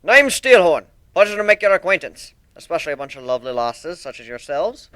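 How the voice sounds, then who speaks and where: A man's voice: on the high side, sing-song, brisk, bright, forceful tone, clean tone, dry recording, pitched above the performer's own voice. A man voicing a cheery, boastful Scottish minotaur with boisterous, courtly manners for a story reading.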